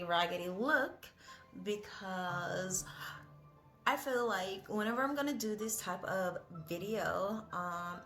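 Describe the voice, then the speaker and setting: A woman's voice: medium pitch, fairly bright tone. A young woman, not a native English speaker, talking in a casual, rambling vlog with many hesitant fillers. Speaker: vlogger.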